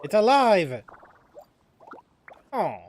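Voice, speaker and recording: A man's voice: high voice, sing-song, extremely slow, noisy background. A man streaming a video game live. He rambles casually, with many fillers and restarts.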